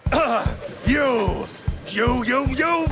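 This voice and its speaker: An actor playing a pompous, hot-tempered bird crime boss with a grandiose, gloating manner in a cartoon video game. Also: male voice, very high voice, expressive, very slow, over music, heavily acted. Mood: sputtering rage. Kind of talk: theatrical cartoon video game villain acting.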